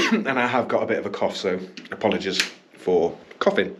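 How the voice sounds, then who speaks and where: A man's voice: lowish voice, sing-song, moderate pace, background noise. A man with a slight cough, hosting a casual vlog from a small indoor studio, chatty and upbeat with ums and self-corrections. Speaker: business owner and channel host.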